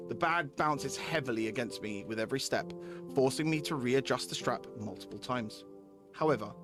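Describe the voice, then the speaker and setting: A man live-streaming a game, chatting casually with viewers, repeating himself and reading story text aloud. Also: mid-range voice. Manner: repeats phrases while addressing chatters.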